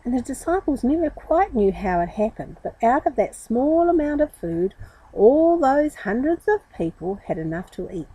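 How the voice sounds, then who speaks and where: A woman's voice: mid-range voice, mellow, breathy edge, low-quality recording. A woman reading a Bible story aloud to children, dramatically and addressing them directly.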